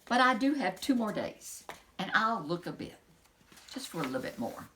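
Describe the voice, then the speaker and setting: A woman's voice: medium pitch, very animated, moderate pace, fairly bright tone, a little hiss or hum, poor audio. A woman voicing a brave, eager young prince from a children's storybook, musing aloud in a bold manner. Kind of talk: children's storybook character reading.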